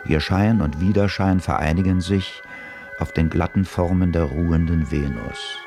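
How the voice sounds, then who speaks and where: A man giving a measured, descriptive narration for a radio play, with music behind. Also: low voice, fairly even intonation, a little mellow. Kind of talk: scripted narration.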